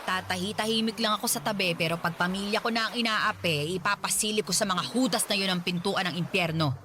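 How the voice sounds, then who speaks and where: A woman's voice: mid-range voice, fast talker, close to an everyday voice. Actress as a feisty Filipino mother, music behind. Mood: indignant, protective. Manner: expressive, motherly, half-joking menace. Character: protective, feisty, teasing widowed mother of grown daughter. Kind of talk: Tagalog radio drama acting.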